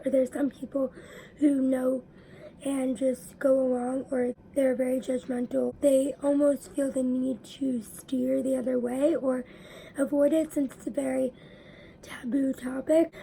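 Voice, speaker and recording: A young woman vlogging to her viewers, her voice raspy from allergies, explaining earnestly and sometimes dropping to a whisper. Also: sits high, warm tone, a little hiss or hum, low-quality recording. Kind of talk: personal talk to camera.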